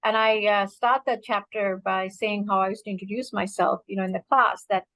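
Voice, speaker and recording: A woman's voice: mid-range voice, steady tone, a little fast, fairly bright tone, no echo, poor audio. A woman in her fifties from Bangladesh, speaking earnestly and reflectively to an audience, with many fillers. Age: 50s. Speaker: alumna speaker. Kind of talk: personal talk to an audience.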